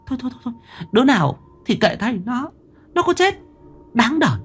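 A man voicing an anxious young woman, the mistress's daughter, talking quickly and fearfully. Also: very high-pitched, sing-song, a bit bright, breathy edge, voice pushed up, heavily acted. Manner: quick, frightened.

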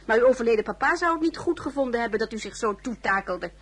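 Blunt homely maid in a radio play, high voice. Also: female voice, animated, quick pace, slightly rough. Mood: disapproving. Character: plain-spoken, loyal female maidservant. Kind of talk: radio drama acting.

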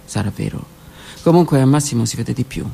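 A woman reading her own literary text aloud on the radio, in a slow, intimate voice that often drops to a whisper. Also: extremely low pitch, animated, gravelly, a little hiss or hum. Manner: slow, intimate, hushed delivery with whispered words. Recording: radio broadcast with faint music.

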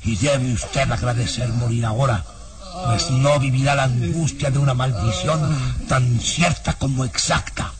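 Man acting a slow, sinister old baron. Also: medium pitch, steady tone, far from the mic, over a noisy crowd, natural voice. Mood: gloating threat. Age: older. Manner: slow, deliberate, mocking menace. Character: sinister old baron, menacing and murderous noble. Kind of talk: old radio serial villain acting. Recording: radio drama with loud music behind. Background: loud dramatic music.

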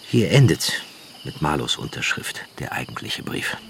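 An actor playing a solemn narrator who frames a tale in literary scripted narration. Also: male voice, a bass voice, very animated, moderate pace, gravelly, a bit bright, slight background noise, exaggerated voice.

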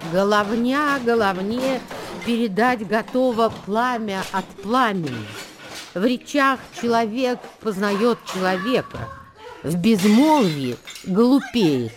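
A woman playing a solemn wise figure, reciting ancient proverbs in an expressive radio-drama style. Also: medium pitch, takes it slowly. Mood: solemn counsel. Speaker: actress reciting verse. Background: music.

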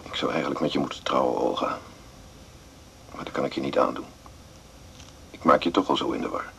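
A very deep-voiced, gravelly man in a radio play, leaving an intimate cassette message. He is halting, tender and melancholy, with faint ambient noise around him. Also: fairly even intonation, a bit bright, heavily acted. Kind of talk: naturalistic 1980s radio drama monologue.

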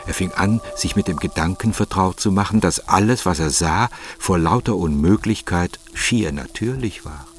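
A man's voice: low-pitched, moderate pace, hardly any pauses, slightly rough, faint music behind. A man narrating a radio drama in a scripted, literary style.

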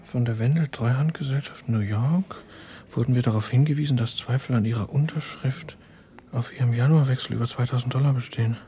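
A man's voice: mid-range voice, fairly quick, warm tone, lightly acted. An actor playing a formal, businesslike man reading official correspondence in a radio drama. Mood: stern, official.

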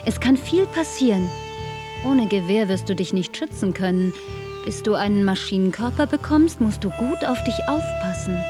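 An actress plays an enigmatic woman guide in a radio drama, middle-pitched and composed. She is protective and calm. Music is prominent behind her. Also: normal speed, lightly acted. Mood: calm, caring. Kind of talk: German radio drama acting.

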